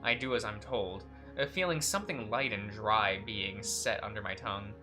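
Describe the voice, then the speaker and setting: Bright-voiced young man hosting a game playthrough. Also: on the low side, normal speed, soft background music. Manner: laughing, chatty, stumbles while reading. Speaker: let's play host. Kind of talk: casual let's play chat and reading aloud.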